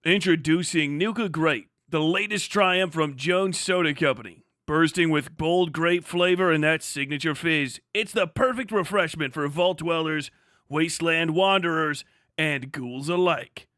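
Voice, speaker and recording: A man putting on a cheery retro-futuristic soda commercial pitchman, with a slow, hammy mock 1950s advertising delivery. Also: fairly bright tone, no echo, clean studio sound. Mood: upbeat selling.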